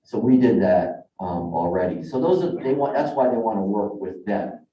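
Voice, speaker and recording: A man presenting a project to a zoning board in a halting, informal way with many fillers. He is tired and losing his voice. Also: on the low side, lively intonation, warm tone. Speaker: developer's representative presenting a project. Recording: dull, echoey council chamber audio, mic at a distance.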